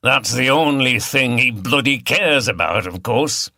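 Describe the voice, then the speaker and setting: A man voicing a blunt, irritable police boss in an audiobook, grumbling and forceful. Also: mid-range voice, expressive, unhurried, dry recording, studio quality, heavily acted.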